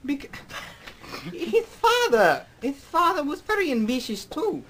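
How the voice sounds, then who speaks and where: A woman playing an eager, optimistic family friend in a comic melodrama, excitable and emphatic. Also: high voice, slow pace, slight background noise, exaggerated voice. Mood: eager, hopeful. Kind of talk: comic audio melodrama acting.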